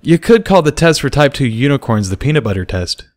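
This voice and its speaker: A man's voice: medium pitch, very animated, a little fast, voice pushed up, natural voice. A man voicing a breezy, reassuring, matter-of-fact pony mother in a storybook reading. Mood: musing.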